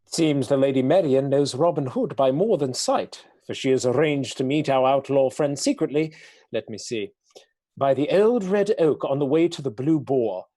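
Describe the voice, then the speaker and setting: Dark-voiced scheming nobleman, acted by a man. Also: mid-range voice, lightly acted. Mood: scheming, commanding. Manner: measured, formal, archaic phrasing. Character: scheming, commanding male Earl, coolly menacing nobleman. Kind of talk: radio play acting.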